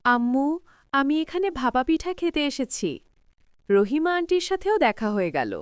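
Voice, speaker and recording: A woman's voice: high voice, sing-song, normal speed, no room sound, clean studio sound. A woman voicing an eager, affectionate young city girl in a cartoon. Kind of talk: cartoon dialogue.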